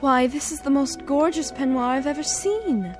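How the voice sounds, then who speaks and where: An actress playing a bubbly young secretary, bright and coquettish. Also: female voice, high-pitched, normal speed, faint music behind, close to an everyday voice.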